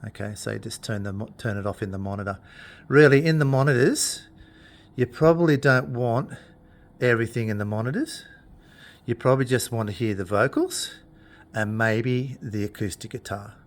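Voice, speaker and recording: A man talking through equipment in an informal tutorial, explaining things in a plain-spoken, unhurried way. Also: mid-range voice. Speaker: instructor demonstrating PA equipment.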